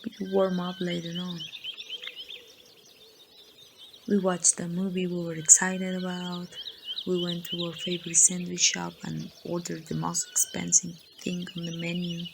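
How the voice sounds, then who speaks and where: A woman playing a grieving young woman confiding in her lost best friend in a halting, wistful ASMR roleplay monologue. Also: fairly low pitch, gravelly, noisy background. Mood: fond reminiscing. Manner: slow, halting, wistful storytelling. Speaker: amateur voice actor.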